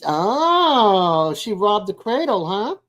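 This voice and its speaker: A woman's voice: medium pitch, sing-song, takes it slowly, a bit bright. Older lady in her 60s just chatting on a livestream, takes her time, rambles a bit. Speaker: live stream host. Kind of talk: casual chat with live viewers.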